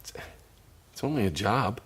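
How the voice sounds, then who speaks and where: An actor playing a calm, reassuring husband who talks in a soothing, placating way. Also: male voice, on the low side, normal speed, husky. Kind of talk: radio drama.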